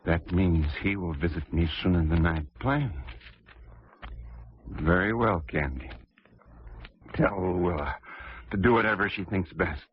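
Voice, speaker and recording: An actor playing a grandiose, unhinged composer in an old-time radio drama, speaking in a theatrical, declamatory way. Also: male voice, sits low, unhurried, long pauses, a little hiss or hum. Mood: subdued, resigned. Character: unhinged, grandiose male composer imagining his own triumph. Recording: dull vintage radio recording with music.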